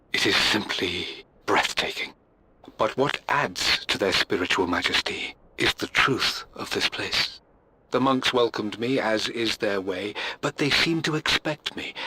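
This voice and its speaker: Intense English gentleman scholar. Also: lowish voice, slight background noise, rough recording. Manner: earnest, eloquent, intense. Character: scholarly, obsessive gentleman explorer, devoted husband and father. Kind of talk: dramatic journal narration in a video game.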